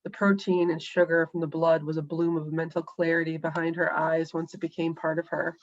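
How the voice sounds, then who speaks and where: A woman reads her own dark fiction aloud. She sounds a bit scratchy and nasal and has a lowish voice. The audio comes through a dull, muffled video-call line. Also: level tone, fairly quick. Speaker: author reading her fiction. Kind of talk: reading a story aloud. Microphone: home computer mic on a video call.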